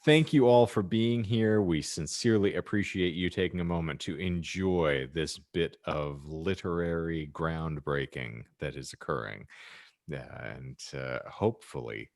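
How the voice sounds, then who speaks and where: A man hosting a podcast in casual banter, speaking in a measured, wordy way with tongue-in-cheek formality. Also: extremely low pitch, a little mellow, dry recording, studio quality. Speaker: podcast host.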